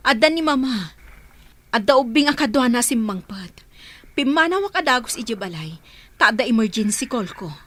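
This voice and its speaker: A woman's voice: on the high side, animated, moderate pace, a bit bright. A woman acting in a radio drama as a caring, resolute protector of orphaned children. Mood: concerned.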